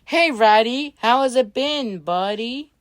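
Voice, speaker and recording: A woman voicing an excitable, gushing young fan in amateur improvised character dialogue. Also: on the high side, slow pace, voice pushed up. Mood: eager, reassuring. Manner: gushing, eager.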